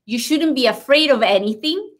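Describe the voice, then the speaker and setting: A woman's voice: high-pitched, expressive, moderate pace. A woman immigration lawyer, a native Spanish speaker with a Spanish accent, explaining legal news in an interview emphatically and reassuringly, repeating key points.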